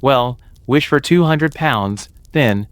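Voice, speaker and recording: A flippant grown son played by a man in a dramatised audio story. He teases with playful mockery in a smooth, clear voice of medium pitch. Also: slow, no room sound, lightly acted. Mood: teasing. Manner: playfully mocking.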